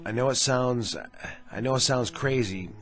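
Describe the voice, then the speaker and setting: A man's voice: mid-range voice, moderate pace, a bit bright, natural voice. A man acting in a radio drama as a serious, uneasy army sergeant, earnest and clipped. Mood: uneasy, insistent. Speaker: actor. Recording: radio drama with music underneath.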